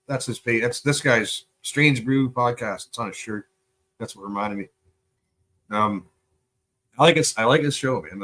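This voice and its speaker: This is a lower-pitched man from Canada who chats casually on a live stream. He swears freely and rambles through fillers and restarts. He sounds high and unhurried about where his thoughts go. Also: a bit bright. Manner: loose, profane, rambling with fillers. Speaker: live streamer reacting to videos.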